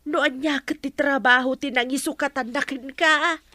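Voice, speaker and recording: A woman's voice: high voice, steady tone, moderate pace, pushed and bright, no echo. An actress with an Ilocano accent playing a blunt, gossipy mother of a grown son in a radio drama, chiding as she talks. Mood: chiding, curious.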